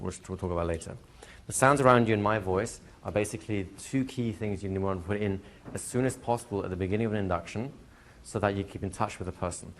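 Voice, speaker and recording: A man teaching a hypnotherapy class to a live group, explaining plainly and slipping into slow, soothing hypnotic patter for demonstrations. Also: on the low side. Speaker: hypnosis trainer. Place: training room with a live audience.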